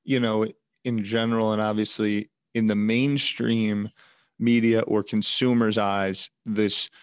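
A man hosting a casual interview, giving quick backchannels and asking long, loose questions. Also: lowish voice, flat delivery, smooth, dry recording. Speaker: podcast host.

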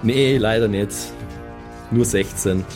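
A man's voice: on the low side, takes it slowly, over music. A man playing a tabletop role-playing game, talking casually and a little hesitantly as he thinks aloud. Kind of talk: casual improvised game talk.

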